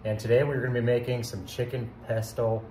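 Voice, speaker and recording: A man's voice: medium pitch, level tone, brisk, warm tone, a little hiss or hum. A man in his thirties co-hosting a casual home cooking demo, talking through each step while he cooks. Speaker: cooking show co-host. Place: home kitchen, indoors. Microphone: phone camera at counter distance.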